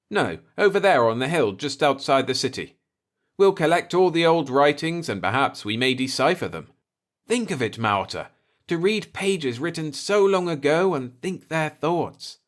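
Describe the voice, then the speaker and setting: Man playing an eager human explorer, pitch lifted. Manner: emphatic, argumentative, enthusiastic.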